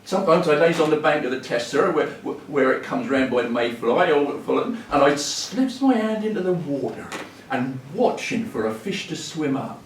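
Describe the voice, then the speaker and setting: An actor playing a cheery, deferential homeless wanderer of about seventy in a stage monologue, with a rural Hampshire accent and a halting, rambling way of talking. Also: male voice, a little high, expressive, natural voice. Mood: wistful, musing. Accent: rural southern English, Hampshire. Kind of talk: theatrical dramatic monologue. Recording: echoey room, distant mic, faint noise.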